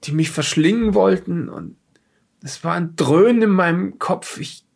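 A man playing a frightened, defensive scientist in a horror audio drama, speaking haltingly with a husky, gravelly voice. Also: high-pitched, exaggerated voice. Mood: haunted, shaken. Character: frightened, defensive male scientist recounting occult horrors under questioning. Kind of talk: improvised audio drama dialogue.

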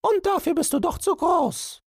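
A man voicing a clever, cold-blooded female ant who bargains calmly and stays unmoved. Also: very high-pitched, normal speed, no echo, clean studio sound, voice pushed up. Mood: bargaining. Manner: cool, unmoved, haggling.

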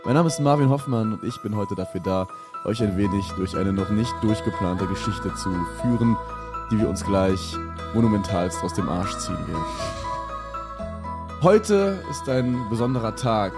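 A man's voice: on the low side, expressive, normal speed, over loud music. A man hosting and narrating an improvised comedy audio play with mock-solemn delivery. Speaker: podcast host and narrator.

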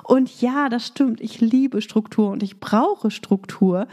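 Warm-voiced German woman podcast host. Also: on the high side, slow pace. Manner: upbeat coaching tone, with fillers in free talk. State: recovering from a cold, voice slightly rough. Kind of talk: scripted intro then casual monologue.

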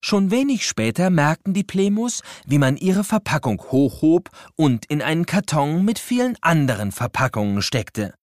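A man's voice: a little high, big pitch swings, normal speed, few pauses, darkish tone, dry recording, clean studio sound. A man narrating a scripted children's story with a playful, dramatic storytelling manner.

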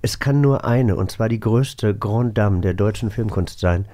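A man's voice: fairly low pitch, a little slow, warm tone. Chatty male podcast host. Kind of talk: casual talk show chat.

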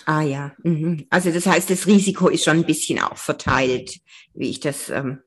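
A woman's voice: deep voice, normal speed, slightly rough. A woman interviewing a guest in a friendly way, with many affirming fillers and frequent laughs.

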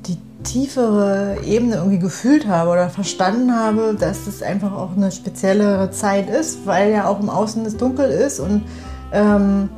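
A woman's voice: medium pitch, normal speed, over music. A woman in a casual, reflective chat, speaking thoughtfully and hesitantly as she searches for words.

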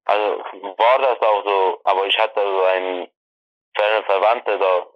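Halting man on a dry, lo-fi video call. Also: on the low side, fairly even intonation, no room sound. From Iran. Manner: halting, restarts and self-corrections. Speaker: interview guest. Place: family apartment.

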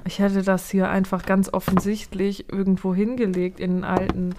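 A woman's voice: medium pitch, little pitch movement, moderate pace, a little mellow, a little hiss or hum. Chatty joking woman co-host. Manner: chatty, joking, bossy when counting down. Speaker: podcast co-host. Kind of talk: improvised spy role-play chat.

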